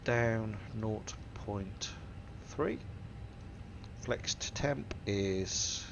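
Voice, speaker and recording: A man's voice: lowish voice, drawn-out words, vocal fry, lo-fi sound. A man with a stuffy nose from a cold, methodically talking through each cockpit setting in a flight simulator tutorial. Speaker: flight sim tutorial host. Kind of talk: step-by-step cockpit walkthrough. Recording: simulator engine and cabin noise behind. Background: aircraft simulator hum.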